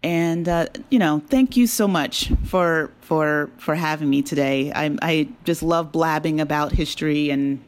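A woman with a slightly low voice speaks as a guest in a casual interview. She is chatty and appreciative, with an easy laugh. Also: unhurried, few pauses. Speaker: interview guest, audio drama creator.

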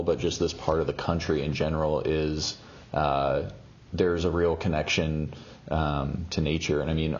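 Very deep man, hesitant, muffled sound. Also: a little mellow. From Seattle, USA. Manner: hesitant, many fillers and restarts. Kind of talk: casual chat.

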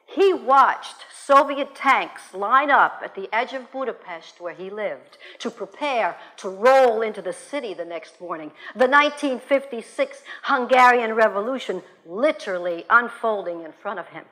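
A woman whose first language is Russian tells her own story in a bright, clear voice that swoops up and down. She takes her time and lets lines land. She is frank and salty and does not soften her language. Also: on the high side, a little slow, hardly any pauses, low-quality recording. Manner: animated, blunt, swears freely. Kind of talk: first-person storytelling to an audience.

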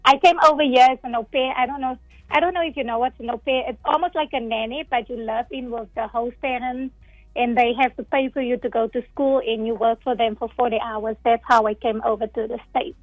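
High-voiced woman on a call. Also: steady tone, brisk, no echo, narrow, tinny audio. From South Africa. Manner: open, laughs often, repeats words. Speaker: guest, nonprofit founder. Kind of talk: casual interview.

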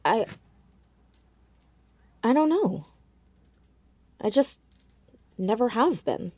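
A woman's voice: a little high, big pitch swings, extremely slow, darkish tone, no room sound, heavily acted. A woman playing a fearless, sceptical teenage girl sleuth in a naturalistic audio drama, probing bluntly with wry directness. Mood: frank, wry.

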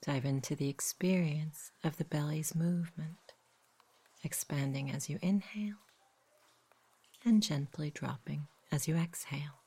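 A woman narrates a guided sleep meditation. Her voice is low for a woman and breathy, often slipping into a whisper. She delivers each phrase softly and gently, taking her time. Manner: soft, gentle, unhurried.

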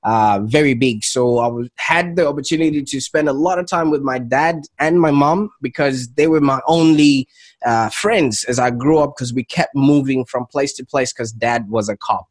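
A man's voice: medium pitch, normal speed. A man with a Zimbabwean accent, telling his own story conversationally as an interview guest. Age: adult, 28 or older. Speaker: interview guest, entrepreneur and coach.

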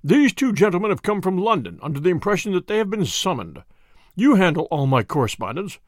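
A man's voice: high-pitched, very animated, a little fast, no echo, studio quality. A man voicing a haughty, cunning old professor in an audiobook story, formal and imperious, with a fierce temper. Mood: angry asperity. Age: older. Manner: formal, imperious, sharp questioning. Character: haughty old male professor, cunning, turning to screaming rage.

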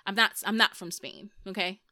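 A woman's voice: medium pitch, steady tone, moderate pace, pushed and bright, dry recording. A young adult woman hosting a podcast, talking bluntly and emphatically in casual chat, swearing freely. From Dominican and Puerto Rican family, raised in the US. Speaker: podcast host.

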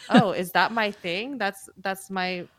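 A woman musician speaking as a guest in a casual panel talk, thoughtful and halting, with many fillers and restarts. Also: medium pitch, a crowd in the background.

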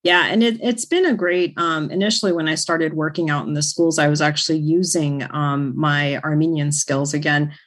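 A woman's voice: low voice, steady tone, moderate pace, hardly any pauses. A woman in her fifties, born in Iran, speaking as an interview guest in an easygoing, reflective way, with lots of fillers and restarts. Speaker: interview guest, speech-language pathologist. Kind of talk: casual conversational interview.

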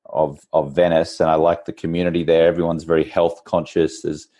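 Deep-voiced Australian man, chatty podcast guest. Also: flat delivery, fairly quick, warm tone. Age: 40s. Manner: chatty, informal. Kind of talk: casual interview storytelling.

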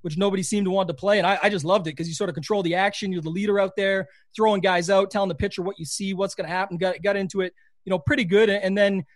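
A man's voice: high voice, fairly even intonation, fast talker, no echo, clean studio sound. A Canadian man with a Canadian accent, chatting casually as a podcast guest, rambling with lots of "you know". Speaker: podcast guest, fantasy sports player. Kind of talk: casual interview chat.

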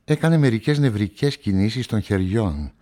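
An actor playing a guarded amateur sleuth, telling a crime story in measured, reflective radio-play narration and dialogue. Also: male voice, fairly low pitch, animated, dark tone, close to an everyday voice.

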